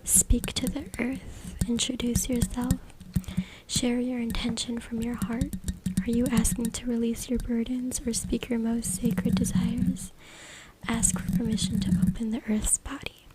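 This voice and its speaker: A woman whispering breathily and softly in an ASMR style as she reads aloud, close to a USB microphone. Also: on the high side, level tone, unhurried. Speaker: ASMR content creator. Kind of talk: ASMR whispered reading from a book. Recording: very noisy close USB mic, clicks. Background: loud noise, tapping and scratching clicks.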